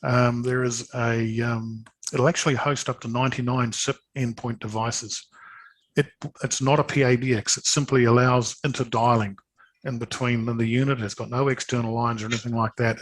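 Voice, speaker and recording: A man giving an informal sales presentation of products, speaking hesitantly and haltingly, often repeating words. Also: medium pitch, poor audio.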